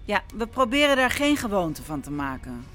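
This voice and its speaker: An actress playing a practical, slightly testy grown daughter with children of her own, matter-of-fact and quick to correct, in a naturalistic radio play. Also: medium pitch, sing-song, a bit bright, soft background music. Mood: worried, defensive. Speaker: actor in an audio drama.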